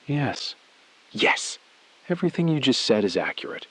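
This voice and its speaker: A cool, calculating bank insider, played by a man for an audio thriller. He speaks in precise, measured phrases with a careful evasiveness. The sound is dry and close. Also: mid-range voice, big pitch swings, a bit bright, husky, dry recording. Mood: coolly knowing. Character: calm, calculating male bank insider, coolly complicit. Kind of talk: scripted audio thriller acting.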